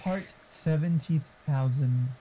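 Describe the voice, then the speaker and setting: A man's voice: fairly high pitch, takes it slowly, mellow. A man chatting casually as a fellow player, laid-back in manner, over muffled, slightly noisy voice chat.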